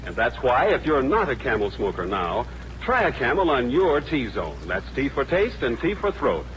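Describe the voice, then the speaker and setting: A man's voice: medium pitch, very animated, normal speed, fairly bright tone, low-quality recording. A man reading a scripted 1940s radio commercial in a polished, persuasive announcer style. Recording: old radio recording, faint noise.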